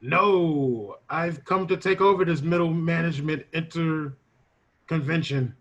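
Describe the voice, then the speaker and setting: A pushy scarecrow villain played by a man in an improvised comedy sketch. The voice sits fairly high and is husky, with an insistent, bossy manner. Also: measured pace, fairly bright tone, muffled recording. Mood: earnest. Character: pushy would-be leader scarecrow supervillain recruiter.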